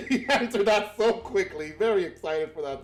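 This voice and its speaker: A man's voice: high-pitched, lively intonation, moderate pace. A man playing a tabletop game, chatting casually and thinking aloud in a playful, rambling way.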